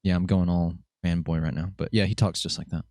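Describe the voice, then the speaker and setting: A man's voice: low voice, level tone, brisk, a little mellow, dry recording, studio quality. A man hosting a casual podcast interview, chatty and joking. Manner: chatty, jokey, does playful voices. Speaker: podcast host.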